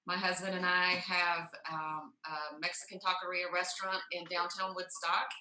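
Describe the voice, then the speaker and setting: Chatty 50s woman, really sharp bright voice, lowish pitch, echoey room. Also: little pitch movement, normal speed. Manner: chatty, laughs easily. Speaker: former player, restaurant owner. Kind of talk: casual self-introduction.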